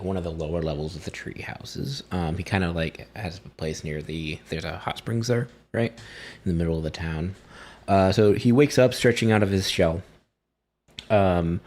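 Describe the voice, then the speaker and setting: A man's voice: deep voice, darkish tone. A man in a tabletop game, casually describing his character and piecing ideas together hesitantly as he speaks.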